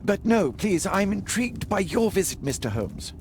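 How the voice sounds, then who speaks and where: A sickly, earnest lord in a Victorian game. He is genteel and slightly hesitant, with a fairly high, animated voice and faint ambient noise. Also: normal speed. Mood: courteous, earnest. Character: courteous, earnest, sickly aristocratic philanthropist. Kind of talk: video game voice acting.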